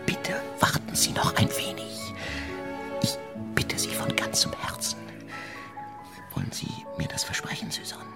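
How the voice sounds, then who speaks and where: A man's voice: in a whisper, over loud music, close to an everyday voice. A man acting a passionate, jealous, scheming married journalist in a German radio play, impulsive and theatrical. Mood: hushed pleading. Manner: impulsive, theatrical, halting when emotional.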